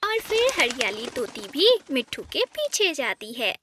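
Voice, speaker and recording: High-voiced woman narrating a cartoon story. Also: big pitch swings, measured pace, bright, forceful tone, slight background noise.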